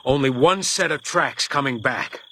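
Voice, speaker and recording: Terse, world-weary radio detective. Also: male voice, mid-range voice, unhurried, sharp tone, a little hiss or hum. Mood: grim, resigned. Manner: terse, dry, understated. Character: world-weary, stoic male detective, defiant and blunt. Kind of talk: radio crime drama acting.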